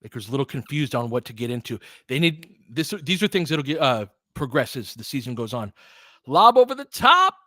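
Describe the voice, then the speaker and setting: A man's voice: medium pitch, sing-song, brisk, bright, forceful tone, no room sound, studio quality. A man giving informal live basketball play-by-play as a partisan commentator who urges on the home team.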